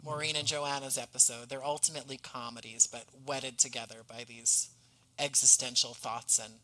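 This is a man with a bright, forward voice, talking as a podcast guest. He explains his work conversationally but in articulate, measured sentences with a touch of formality. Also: medium pitch, little pitch movement, poor audio. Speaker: podcast guest, audio drama writer.

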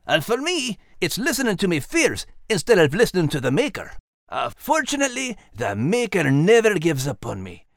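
A man's voice: high voice, huge pitch swings, moderate pace, gravelly, a bit bright, dry recording, studio quality, voice pushed up, heavily acted. A man voicing a plucky, kindly animal adventurer with a Scottish accent and a homely, earnest manner.

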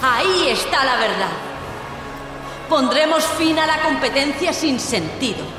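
Casting: woman as fervent revolutionary orator, high animated voice, declamatory, heavy echo, music behind. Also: a little slow. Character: idealistic revolutionary woman leader addressing the masses. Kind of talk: political speech in an audio drama. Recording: echoing hall reverb under loud music.

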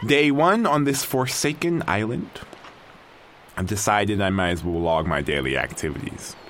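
A man's voice: on the low side, lively intonation, moderate pace, fairly bright tone, slight background noise. An actor playing an exasperated, wry stranded man talking to himself as he records a journal in an audio drama. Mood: resigned, wry.

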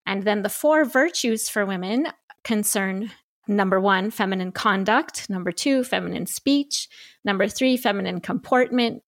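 A woman's voice: medium pitch, no room sound. A woman hosting a podcast, methodically laying out ideas point by point in an explanatory tone. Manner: methodical, lays out points in numbered lists. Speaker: podcast host.

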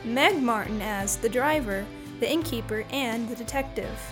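A woman's voice: a little high, moderate pace. A woman reading a scripted announcement as a credits announcer. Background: instrumental music.

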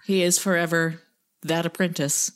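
A woman's voice: on the low side, flat delivery, moderate pace. Playful, self-deprecating woman podcast host. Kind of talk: casual humorous podcast chat.

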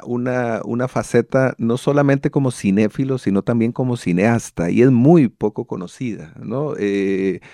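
Mellow, gravelly man in conversation. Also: medium pitch, dry recording, studio quality. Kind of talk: conversational commentary.

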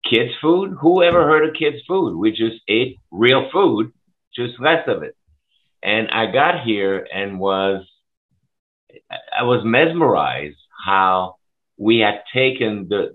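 A middle-aged man from Switzerland, a school food services director, speaking passionately and emphatically in an interview over a video call. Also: on the low side. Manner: passionate, emphatic, rapid bursts. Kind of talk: conversational interview. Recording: tinny phone-like line.

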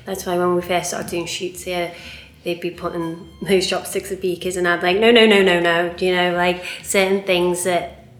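A woman's voice: lowish voice, flat delivery, moderate pace, soft background music. A woman whose first language is Cantonese, chatting casually in an interview and peppering her talk with "like" and "do you know". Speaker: restaurant staff guest. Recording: echoey room.

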